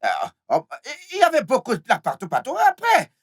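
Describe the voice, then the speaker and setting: A man's voice: very high-pitched, wildly swooping pitch, fast, breathy edge, exaggerated voice. A man playing a volatile, scheming husband in a film melodrama, repeating himself and stammering through his words. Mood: defensive, exasperated. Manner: repetitive, stammering delivery. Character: volatile, scheming unfaithful husband with a short temper.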